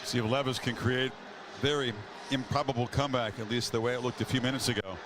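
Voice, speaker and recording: A man's voice: mid-range voice, fairly even intonation, fast, a bit bright. A man doing televised play-by-play commentary on a football game. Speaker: play-by-play announcer. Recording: noisy stadium game broadcast.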